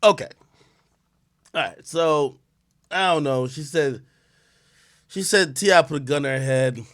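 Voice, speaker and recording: A man's voice: a little high, a little slow. Profane, rambling male streamer. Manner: profane, rambling, reacts loudly while reading aloud. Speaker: live-stream host. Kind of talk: casual stream commentary.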